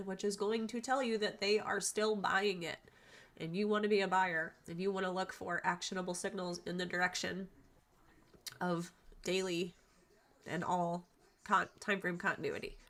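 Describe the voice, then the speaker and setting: Woman w/ allergies, bit stuffy, chatty trading lesson, lots of ums, mid pitch. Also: fairly bright tone. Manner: chatty and informal, frequent ums and throat clearing. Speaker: stock trading educator. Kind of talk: casual chart-analysis lesson.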